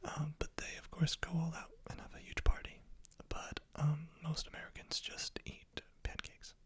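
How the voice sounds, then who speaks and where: A teacher giving a whispered ASMR lesson, softly repeating each word many times in a breathy, husky whisper. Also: mid-range voice, monotone, normal speed, no room sound. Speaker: language teacher.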